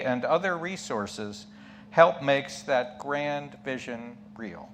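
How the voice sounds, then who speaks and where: A man's voice: a little high, a bit bright, a little hiss or hum. A man leading a worship service, giving announcements and reading the offering words to a congregation.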